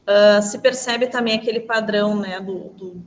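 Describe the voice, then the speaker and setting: A woman's voice: mid-range voice, steady tone, moderate pace. A woman leads a class discussion over a video call, keeping it conversational with frequent tag questions. Her voice carries a long reverb tail, and a faint hiss sits underneath. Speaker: teacher leading the class.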